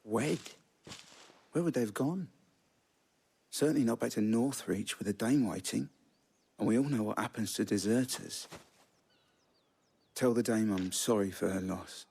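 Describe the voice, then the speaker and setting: Blunt soldier, lowish voice, wry, pragmatic, colloquial, game cutscene drama. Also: male voice, a little slow, gravelly, pauses often, darkish tone, slightly rough, heavily acted. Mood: resigned.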